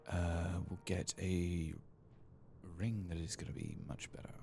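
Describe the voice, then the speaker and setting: A man giving hushed, low-key ASMR game commentary, murmuring softly and sometimes dropping into a whisper. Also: level tone, unhurried, a little hiss or hum. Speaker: video game streamer.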